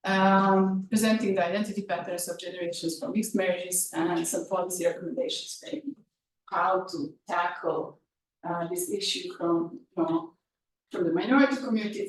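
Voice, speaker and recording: A woman's voice: fairly low pitch, moderate pace. A woman who grew up speaking Hungarian gives an academic talk, hesitant and full of fillers. She sounds far from the mic in a very echoey room. A faint hiss sits underneath. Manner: hesitant, many fillers and restarts. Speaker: research presenter.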